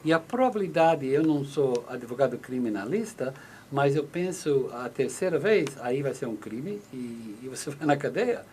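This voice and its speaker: A man's voice: medium pitch, expressive, moderate pace, a little mellow, a little hiss or hum. Man explaining legal questions on radio. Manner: explanatory, acts out short exchanges, many restarts. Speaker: lawyer answering listener questions. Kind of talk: radio call-in advice. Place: radio studio in the US.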